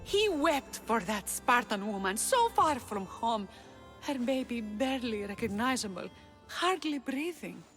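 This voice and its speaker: A woman playing a sorrowful temple woman in a video game, speaking in a solemn, measured way. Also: a little high, very animated, a bit bright, soft background music. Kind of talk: dramatic game cutscene acting.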